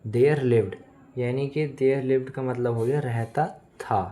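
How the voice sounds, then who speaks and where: A man with an Indian accent, a native Hindi speaker, teaching English phrase by phrase in a deliberate, repetitive, explanatory manner. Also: mid-range voice, fairly even intonation, dark tone. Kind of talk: bilingual language lesson, phrase by phrase.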